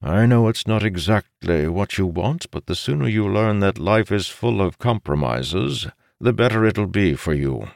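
Guy doing a weary firm dad, fantasy audiobook, measured, placating, pitched up a bit. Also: lively intonation, vocal fry, a little mellow, no echo, clean studio sound. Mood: firm, persuasive. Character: weary, authoritative father of grown sons, firm but coaxing. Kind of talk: audiobook character voice.

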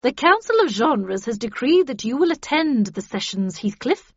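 A woman's voice: on the high side, sing-song, normal speed, slightly rough, no echo, pitched above the performer's own voice, heavily acted. A woman voicing a stern, cold, commanding female official, severe and formal, in an audiobook. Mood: cold, urgent. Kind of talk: audiobook character dialogue. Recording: dull, band-limited audio.

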